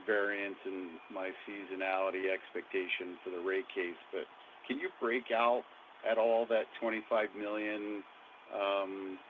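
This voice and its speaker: A man calling in as a financial analyst, asking questions on an earnings call with hesitant pauses mid-question. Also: on the low side. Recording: tinny phone line with faint noise.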